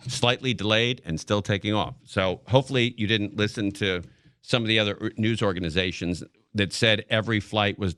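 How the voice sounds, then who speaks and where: An American man hosting live radio talk, commenting casually on the news in a rambling, halting way, as if thinking aloud. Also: fairly low pitch, fairly bright tone, clear, resonant tone, dry recording. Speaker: radio talk show host. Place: radio studio. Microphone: close broadcast studio mic.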